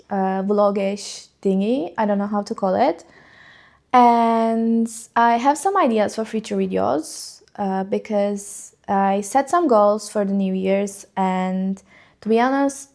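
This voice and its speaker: Slow, rambling Turkish-accented woman. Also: medium pitch, a little mellow. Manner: rambling, many ums and likes, emotional. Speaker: vlogger. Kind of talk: casual unscripted chat to camera.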